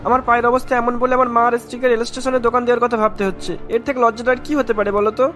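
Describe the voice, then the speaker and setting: A woman's voice: fairly high pitch, little pitch movement, racing, a bit bright, lightly acted. A woman voicing a worried, ashamed husband and son in a cartoon, anxious and questioning. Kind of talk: Bengali cartoon dialogue. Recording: music behind the voice. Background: music.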